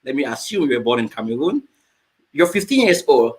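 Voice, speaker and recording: A man hosting a casual talk show, speaking in a halting, rambling way and often repeating himself. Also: medium pitch, very animated. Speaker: host.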